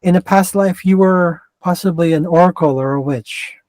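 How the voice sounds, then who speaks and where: A man's voice: high-pitched, moderate pace, a little mellow, no echo. A man with a mixed Canadian and West Coast American accent giving a casual astrology chart reading, rambling and often interrupting himself. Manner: rambling, self-interrupting, digressive.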